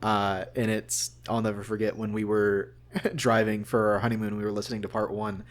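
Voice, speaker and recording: Male voice actor, lowish pitch, earnest and conversational, an animated storyteller in an informal interview. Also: a little fast. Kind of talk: casual anecdotal interview chat.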